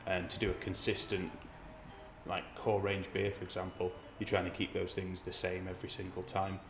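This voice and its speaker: A man around forty speaks in a very low voice with little movement in pitch. He explains his work as a brewer to a documentary interviewer. The room gives his voice clear echo. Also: monotone, moderate pace, noisy background. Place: brick-walled room indoors. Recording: roomy echo, music underneath.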